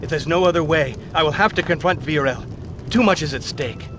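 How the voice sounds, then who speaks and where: An actor as a resolute, earnest hero. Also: male voice, fairly high pitch, fairly quick, noisy background, close to an everyday voice. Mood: urgent. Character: determined hero, a man seeking the castle. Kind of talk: game cutscene acting. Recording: voice with loud game music behind.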